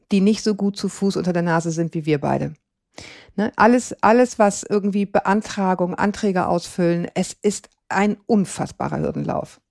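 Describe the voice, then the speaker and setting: A woman's voice: medium pitch, darkish tone, dry recording, clean studio sound. A middle-aged woman hosting a conversational interview, engaged and emphatic as she gives her own opinions. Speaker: interviewer, host.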